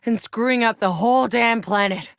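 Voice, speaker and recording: A woman's voice: on the high side, normal speed, gravelly, no room sound. An actress playing a tough, weary, sardonic female soldier in a sci-fi video game, blunt and dry in manner. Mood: bitter, anguished. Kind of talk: scripted video game character dialogue.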